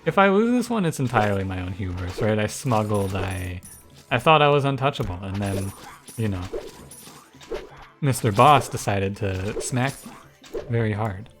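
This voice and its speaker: A man with a soft, soothing voice giving relaxed, thinking-aloud commentary over a strategy game. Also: moderate pace, pauses often, faint music behind. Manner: low-key, musing, thinks aloud with frequent restarts. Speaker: gaming YouTuber and streamer. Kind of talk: casual gameplay commentary.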